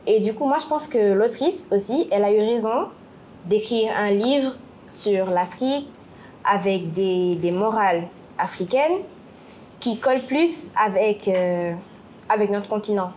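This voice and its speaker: A young woman gives her view in a class book discussion. She is thoughtful and pauses to search for her words. A faint hiss runs behind her. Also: medium pitch, expressive, a little mellow.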